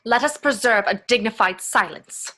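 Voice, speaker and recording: Woman as bright, haughty Gwendolen, high-voiced society lady. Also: moderate pace, poor audio. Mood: haughty dignity. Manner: formal, emphatic, indignant. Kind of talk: dramatic reading of Victorian comedy play.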